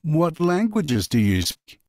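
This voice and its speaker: A man reading a question slowly on a textbook recording, spacing each word carefully. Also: a little high, very animated, darkish tone, no room sound, studio quality. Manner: slow, carefully spaced question. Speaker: recorded dialogue speaker. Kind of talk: slow scripted textbook audio.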